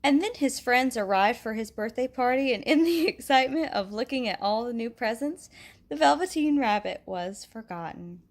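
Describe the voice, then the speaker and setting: A woman reading a picture book aloud in a gentle, expressive way, with a soft laugh now and then. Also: a little high, normal speed. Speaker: storybook reader.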